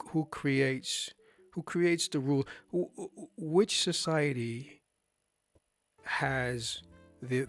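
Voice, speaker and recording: A man hosting a live stream in a casual discussion, talking emphatically, putting rhetorical questions to his listener and often restarting his phrases. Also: medium pitch. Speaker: livestream host.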